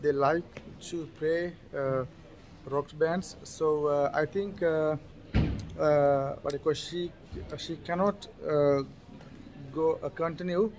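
A man speaking halting non-native English, searching for words as he co-hosts a casual vlog. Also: a little high, fairly even intonation, darkish tone. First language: not English. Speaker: vlog co-host.

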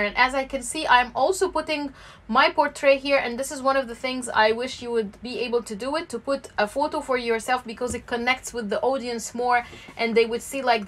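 Woman in her 30s, high voice, walks through a channel review, lots of uh and um. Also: fairly bright tone. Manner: explanatory, frequent fillers and restarts. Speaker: YouTube growth coach. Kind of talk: screen-share channel review.

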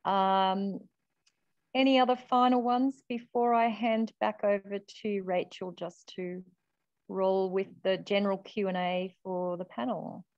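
A woman's voice: mid-range voice, fairly even intonation, a little slow, a little mellow, dry recording. A woman in her fifties with an Australian accent, facilitating a webinar in an encouraging, upbeat way. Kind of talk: chairing a webinar. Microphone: video call webcam. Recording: dry, dull webcam audio.

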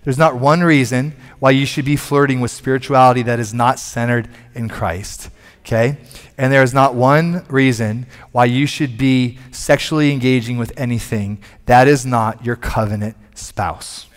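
Animated male pastor preaching on a stage. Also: medium pitch, normal speed. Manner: animated, moving about the stage and gesturing; conversational, with many restarts. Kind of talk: sermon. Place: large church stage.

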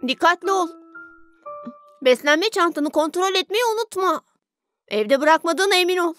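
A performer voicing a caring, slightly bossy young boy, a big brother in an animated cartoon dub, with a childlike, instructive delivery. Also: very high voice, normal speed, soft background music.

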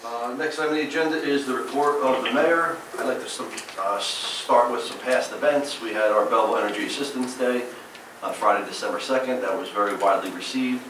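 A man's voice: medium pitch, fairly quick, noisy background. A man serving as mayor, giving a report at a town council meeting in an upbeat, event-listing way with frequent fillers and a New Jersey accent. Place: large council chamber, indoors. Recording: echoey council chamber from far off.

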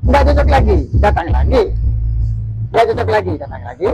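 A man's voice: high-pitched, big pitch swings, moderate pace, gravelly, highs cut off, over music. A young man working as a barber, chatting and joking to the camera while he cuts hair in a small shop. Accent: Indonesian. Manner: chatty, joking, keeps saying "guys". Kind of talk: casual vlog narration while cutting hair. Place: small barbershop, indoors, street-facing.

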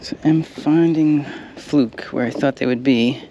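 A man's voice: medium pitch, a little slow, dull audio. A young man fishing from a kayak, casually talking through his plan as he fishes, recorded on an action camera out on the water. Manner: relaxed, thinking aloud while fishing. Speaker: kayak angler. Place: kayak on open water outdoors. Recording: windy outdoor camera audio. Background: wind and water.

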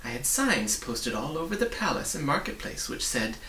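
A man voicing a sad, gentle old woman who speaks formally and wistfully, as in a storybook. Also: on the high side, big pitch swings, moderate pace. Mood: reminiscing. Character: sad, gentle old woman, a disgraced former royal official. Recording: roomy, distant mic, hissy.

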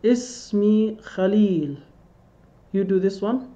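A man's voice: sits high, unhurried, warm tone. Patient male teacher over a dull webcam. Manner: patient, repeats phrases for students to echo. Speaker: Arabic teacher. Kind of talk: online language lesson. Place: indoor room.

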